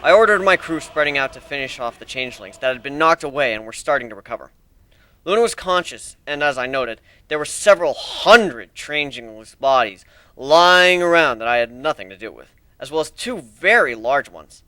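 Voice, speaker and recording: Amateur male narrator for fantasy fiction: forceful, expressive, very dry sound. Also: medium pitch, normal speed, no echo. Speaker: amateur story reader. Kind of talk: dramatic reading of first-person fantasy fan fiction.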